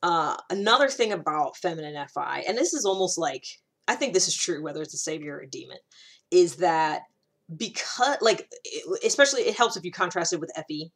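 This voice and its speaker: A woman with a fairly low voice talks in a casual, explanatory monologue. She works through her ideas aloud in a conversational manner, putting emphasis on key words as she goes. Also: animated, fairly quick, a bit bright. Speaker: personality typing vlogger.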